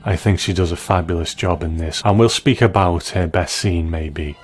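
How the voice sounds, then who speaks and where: A man giving conversational review commentary, reflective and measured, as narrator. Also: deep voice, steady tone, over music.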